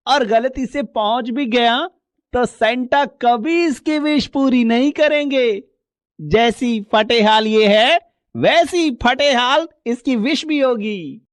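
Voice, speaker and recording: A snobbish rich kid, a young boy voiced by a woman, in a cartoon moral story. He sneers slowly in a high voice, mocking, recorded close and dry. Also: no room sound. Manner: slow, mocking.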